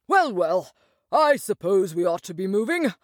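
Storybook rat, male, chummy and sociable, as a female reader puts him on, lively swooping voice, dry close sound. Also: medium pitch, no echo, close to an everyday voice. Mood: gossiping. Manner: brisk, chummy, gossiping. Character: cheerful sociable male water rat, chatty. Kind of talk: storybook voice acting.

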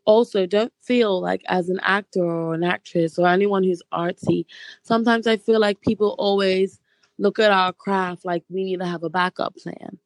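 A young woman from Bermuda, a stage actress and guest on an interview podcast, talking in a relaxed, chatty way with a slightly hoarse voice. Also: medium pitch, moderate pace, no room sound. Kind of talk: casual interview chat.

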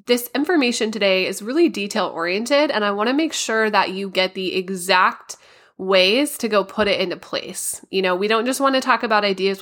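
A woman hosting a solo podcast, a little raspy and hoarse from a cold, explaining things in a practical, step-by-step way. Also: mid-range voice, a bit bright. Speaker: podcast host.

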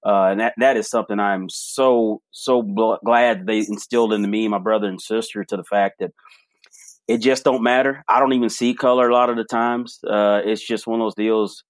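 Man, low voice, dry close sound, animated storyteller, podcast guest. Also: moderate pace, no echo. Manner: animated storyteller, frequent restarts. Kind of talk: casual storytelling interview.

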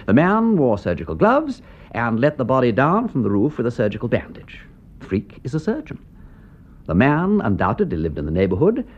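An actor playing an aristocratic English gentleman detective in a radio drama, with an upper-class English accent and an urbane, emphatic way of talking. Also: medium pitch, breathy edge. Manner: urbane, emphatic, drawling question tags. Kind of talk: British radio drama acting. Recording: dull band-limited radio recording.

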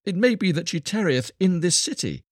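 A storybook beggar boy, acted out by a man, humble and full of remorse. The voice is lifted well above the reader's own and pleads earnestly in archaic phrases, with a slightly husky grain and a dry, close sound. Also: sits high, big pitch swings, fairly quick, dry recording, pitched above the performer's own voice. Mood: remorseful, pleading.

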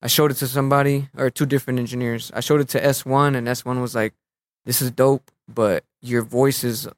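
A young man of Mexican heritage, a rapper guest in a relaxed interview, talking in easy slang and letting his phrases fade out quietly. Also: mid-range voice, a little fast. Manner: laid-back, slangy, trails off softly at phrase ends.

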